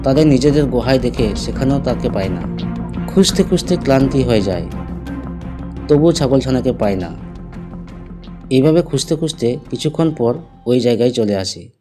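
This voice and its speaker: A man narrating a scripted cartoon fable as the storyteller, with music behind him. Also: mid-range voice.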